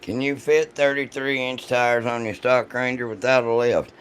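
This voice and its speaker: A man with a deep, rough voice chats casually and jokingly with his livestream audience in a folksy, salty way. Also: steady tone, moderate pace, a bit bright. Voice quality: deep, rough voice from vocal cord polyps. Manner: folksy, joking, foul-mouthed. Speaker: livestream host reading and answering chat.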